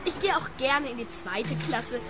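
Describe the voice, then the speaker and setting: Woman as a high-voiced, polite little boy. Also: expressive, a little slow. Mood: earnest, polite. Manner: formal, well-mannered, earnest. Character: polite, precocious, obedient seven-year-old boy. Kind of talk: radio play acting. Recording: noisy scene with background crowd.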